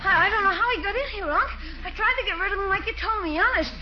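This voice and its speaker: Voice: very high-pitched, fast talker. An actor playing a slangy, whiny, wisecracking schoolboy nephew in 1940s radio comedy style. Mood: pleading. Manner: slangy, cheeky, whining. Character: wisecracking, sulky but eager schoolboy nephew. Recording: dull, noisy 1940s radio recording.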